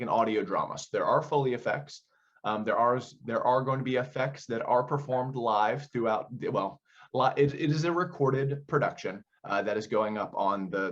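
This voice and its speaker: A man's voice: mid-range voice, lo-fi sound. A man giving conversational, explanatory interview answers as a theater director, often posing questions as he goes.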